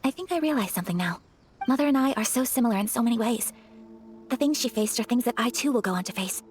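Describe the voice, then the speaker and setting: A woman playing a refined, earnest young noblewoman in a video game, speaking politely and formally in a gentle, hesitant way. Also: on the high side, lively intonation, fast talker, close to an everyday voice. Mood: reflective. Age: young adult. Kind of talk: video game character acting. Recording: faint music behind the voice.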